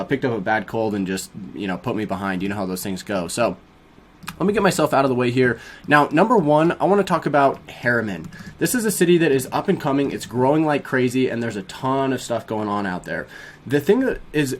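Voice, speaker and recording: Young male vlogger, brisk and friendly, slightly congested, recorded in a small home studio. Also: medium pitch. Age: young adult. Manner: upbeat, fast-talking, addresses viewers as guys. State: a bit sniffly from a cold. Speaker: real estate agent. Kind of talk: casual explainer vlog.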